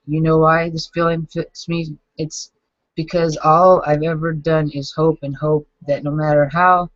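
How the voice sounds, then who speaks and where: A man in his forties reading his own journal entries aloud, halting and self-critical, with frequent restarts. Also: fairly high pitch, no echo. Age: 40s. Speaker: vlogger. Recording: dull lo-fi dry recording.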